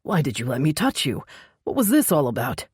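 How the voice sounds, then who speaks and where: Woman voicing a low, possessive vampire lover. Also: very animated, fairly quick, slightly rough, no room sound, clean studio sound, heavily acted. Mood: angry, incredulous. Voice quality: low, growling. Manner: forceful, insistent, swearing. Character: possessive, hot-tempered male vampire lover, intense and stubborn. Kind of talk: dramatic romance audiobook dialogue.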